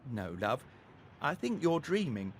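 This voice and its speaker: A man's voice: fairly high pitch, big pitch swings, unhurried, a little hiss or hum, pitched above the performer's own voice. A man voicing a boy's weary, mildly exasperated but affectionate mother in a children's story. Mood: puzzled, gentle.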